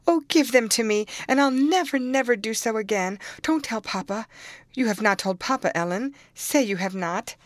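A woman's voice: expressive, normal speed, dry recording, studio quality, natural voice. A woman voicing a distraught, impulsive teenage girl who pleads breathlessly. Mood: pleading. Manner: high, breathless, sobbing pleas.

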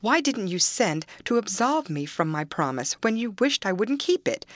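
A woman's voice: medium pitch, sing-song, normal speed, no echo, pitched above the performer's own voice, lightly acted. A woman reading aloud, voicing a proud young woman who scolds sharply and demands answers. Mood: demanding.

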